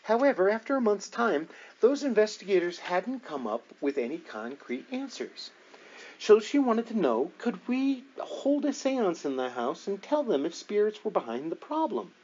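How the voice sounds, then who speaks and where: A man narrating a book aloud in a deliberate, measured storytelling manner with dramatic emphasis. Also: high-pitched, sing-song, darkish tone, dull audio. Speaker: audiobook reader.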